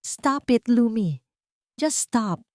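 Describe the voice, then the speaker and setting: A cold young man in a storybook drama speaks with low, cutting scorn, as a woman reads him. The voice sits higher than the reader's own. The sound is close and dry. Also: expressive, slow pace, warm tone, dry recording. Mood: scornful.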